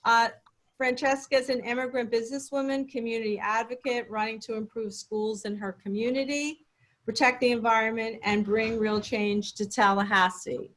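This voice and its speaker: A woman's voice: fairly high pitch, fairly even intonation, normal speed, fairly bright tone, rough recording. A woman hosting a video call roundtable, welcoming candidates with a few fillers. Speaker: giving circle organizer and host.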